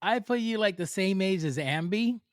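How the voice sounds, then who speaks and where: A man's voice: sits high, expressive, moderate pace, dry recording, clean studio sound. A man hosting a casual podcast interview, hyped and playfully exaggerating as he repeats himself. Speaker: podcast host.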